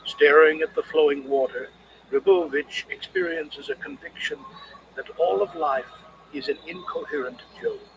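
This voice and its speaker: A man reading scripted literary criticism as an audiobook narrator, in a measured, expressive way. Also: fairly high pitch, creaky, slight background noise, rough recording.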